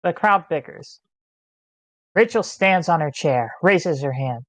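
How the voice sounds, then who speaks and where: A woman's voice: sits low, expressive, slow, dry recording. A woman reading out stage directions as scripted narration in an online screenplay table read. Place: online meeting.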